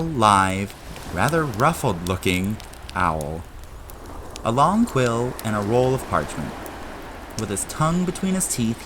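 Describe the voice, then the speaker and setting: A man reading dramatised story narration in an expressive storytelling style. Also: lowish voice, background noise. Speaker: narrator and audio drama maker.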